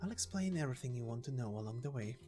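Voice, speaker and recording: A man voicing an earnest, reserved young woman researcher in a game story, quiet and guarded. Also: mid-range voice, animated, rapid-fire, soft background music, pitched below the performer's own voice, natural voice. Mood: relieved, tired.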